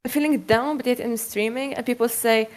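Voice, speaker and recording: Hesitant young woman, fairly high voice. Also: steady tone, a little fast, darkish tone. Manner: hesitant, many fillers like "like, you know". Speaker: VTuber guest. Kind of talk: casual interview chat.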